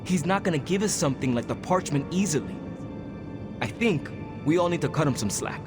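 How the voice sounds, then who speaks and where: A man's voice: a little high, animated, a little fast, lightly acted. A young man playing a brash, earnest superhero in a video game, talking in a casual, slangy way. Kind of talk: conversational video game acting. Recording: voice under clear background music.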